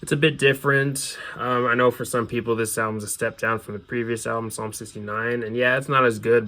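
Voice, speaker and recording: A man's voice: on the low side, pushed and bright. A man hosting a casual show-and-tell, talking in an informal, opinionated fan's way as they go through their collection. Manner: casual, opinionated fan talk. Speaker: host presenting their music collection.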